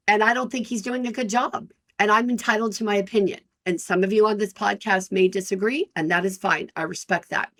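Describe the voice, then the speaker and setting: A woman in her fifties, an employment lawyer hosting her own podcast, talking frankly and directly to listeners in a loud, energetic voice. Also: lowish voice. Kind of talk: solo podcast talk.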